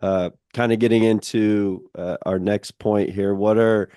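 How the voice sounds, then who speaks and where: A young man hosting a podcast interview who calls his own voice monotone and talks casually with lots of fillers. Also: fairly low pitch, measured pace, smooth, no echo, studio quality. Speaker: podcast host.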